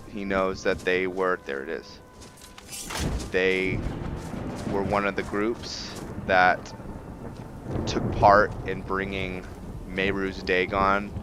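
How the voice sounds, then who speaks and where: A man with a low voice gives casual gameplay commentary at an unhurried, slow pace. He thinks out loud, halting and restarting as he goes. Music plays clearly behind his voice. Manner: halting, thinking aloud, trailing off mid-phrase. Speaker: gaming host narrating a playthrough. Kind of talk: casual let's-play commentary. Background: game music behind the voice.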